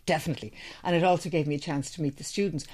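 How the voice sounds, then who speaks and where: A woman's voice: deep voice, fairly even intonation, rapid-fire, a little mellow, breathy edge. A middle-aged Irish woman, an interview guest, talking chattily and with enthusiasm about her training business. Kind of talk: casual interview chat.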